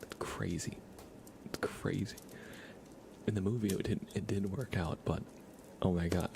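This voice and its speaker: A man hosting an ASMR livestream, chatting informally in a soft-spoken to whispered voice, rambling along. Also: low-pitched, fairly even intonation, measured pace, gravelly, a little hiss or hum.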